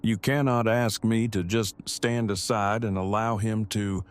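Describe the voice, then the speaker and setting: A man acting an earnest, worried survivor in an audio drama, speaking in a stiffly formal, measured manner. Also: on the low side, fairly even intonation, close to an everyday voice. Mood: reluctant, hurt. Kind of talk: full-cast fan audio drama acting.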